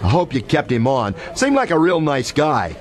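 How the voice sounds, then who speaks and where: An actor playing a cocky, clueless, wisecracking police detective in a comic old-time radio detective drama. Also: male voice, a little high, huge pitch swings, moderate pace. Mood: clueless, flippant. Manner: cocky, slangy, oblivious chatter. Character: brash, clueless, wisecracking male hard-boiled police detective.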